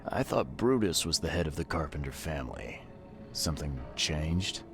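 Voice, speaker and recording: A gruff, world-weary investigator in a video game, with an extremely low voice. He talks tersely and calmly, hesitating now and then, while music plays clearly behind him. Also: moderate pace. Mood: measured, questioning. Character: gruff, weary, plain-spoken male investigator, calm and fair-minded. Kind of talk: video game cutscene dialogue.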